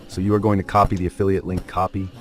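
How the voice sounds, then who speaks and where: A man's voice: deep voice, level tone, very fast, faint music behind. A man with a Nigerian accent explaining a web tool step by step in an earlier tutorial video. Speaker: tutorial host.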